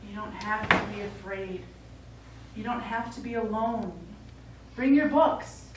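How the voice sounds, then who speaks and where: A woman's voice: mid-range voice, unhurried, natural voice. An actress playing a concerned middle-aged daughter pleading with her elderly father in a stage play. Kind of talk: live stage play acting. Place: small indoor theatre stage. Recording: echoey theatre, distant mic, faint noise.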